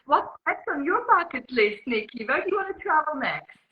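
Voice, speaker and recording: A woman's voice: sits high, big pitch swings, moderate pace, roomy sound, muffled recording. A woman from Switzerland with a Swiss accent whose first language is Swiss German, speaking as a journalist guest in an interview, thoughtful and full of ums and uhs. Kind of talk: interview conversation.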